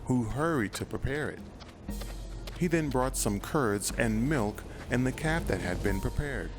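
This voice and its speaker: A man narrating scripture in a steady, measured storytelling manner. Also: fairly low pitch. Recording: voice-over with music behind.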